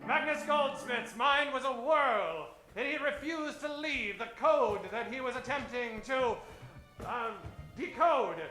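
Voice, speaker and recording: A man's voice: very high-pitched, measured pace. A man playing a grandiose, theatrical announcer in a parody of a 1940s radio serial. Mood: suspenseful. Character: breathlessly suspenseful male radio serial announcer. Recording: roomy stage sound, faint music.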